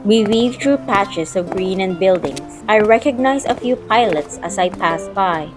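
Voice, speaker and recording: A woman's voice: mid-range voice, expressive, measured pace, close to an everyday voice. A young woman playing a spirited, teasing childhood friend in an audio drama, telling the story in her own words and acting out playful banter. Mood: calm narrating. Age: young adult. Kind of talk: audio drama narration and dialogue. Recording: music playing behind the voice.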